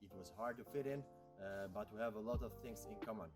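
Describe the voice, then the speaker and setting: A man from Albania, whose first language is Albanian, answers interview questions in a slightly low voice. He hesitates often and fills pauses with "uh". Music plays clearly behind him. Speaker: interviewee, IT software worker. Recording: music playing loudly behind.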